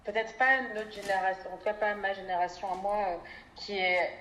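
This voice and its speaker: A woman's voice: medium pitch, fairly even intonation, a little fast, fairly bright tone, echoey room, a little hiss or hum, poor audio. A woman in her twenties from Belgium, a podcast guest speaking thoughtfully with frequent hesitations. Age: 20s. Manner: thoughtful, many hesitation fillers. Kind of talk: reflective interview conversation.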